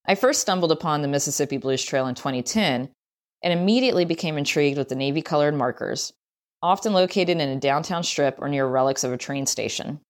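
Woman, low-pitched voice, scripted narration, travel story reading. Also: animated, a little fast.

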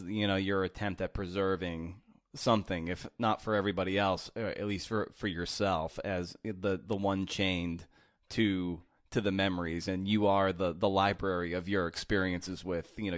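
Casual male podcast host, low voice, long wandering questions, dead-dry sound. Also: dry recording. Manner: conversational, rambling long questions.

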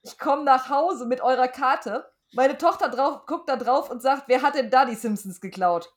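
A woman's voice: high voice, normal speed. A woman co-hosting a podcast, chatting informally and wryly. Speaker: podcast co-host. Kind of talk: casual chat, podcast sign-off.

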